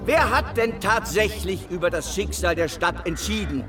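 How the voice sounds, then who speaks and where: An actor playing a fiery, zealous sixteenth-century revolutionary preacher in a radio play, declaiming in a rousing, deliberate way. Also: male voice, high-pitched, expressive. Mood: fervent, rousing. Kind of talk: dramatic sermon in historical radio play. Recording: loud music under the voice.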